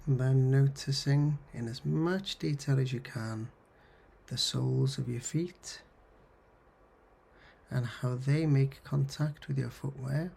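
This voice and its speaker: Male meditation guide: unhurried, gravelly and husky, soothing, gently instructive, medium pitch. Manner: soothing, gently instructive, pausing between short phrases. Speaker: counsellor guiding mindfulness. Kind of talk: guided meditation, body scan.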